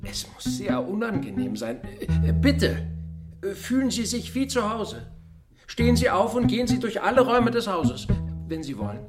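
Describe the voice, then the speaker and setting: A blunt, good-hearted old admiral from a radio play. His talk is bluff and chatty, with a pitch that swings widely. Loud music plays behind him. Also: sing-song, moderate pace. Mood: awkwardly hospitable. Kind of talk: German radio drama acting. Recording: music playing loudly behind the voice.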